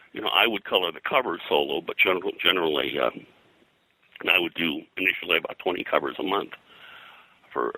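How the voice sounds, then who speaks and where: A man's voice: low-pitched, sharp tone, dry recording, poor audio. An older man reminiscing as an interview guest, explaining things in a discursive way and often breaking off to rephrase. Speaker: interview guest, veteran comic book colorist.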